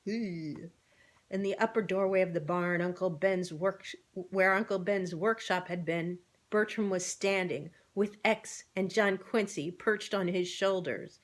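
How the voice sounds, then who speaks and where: A woman's voice: lowish voice, measured pace. Woman reading a children's story aloud. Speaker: storybook reader. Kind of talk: expressive read-aloud narration.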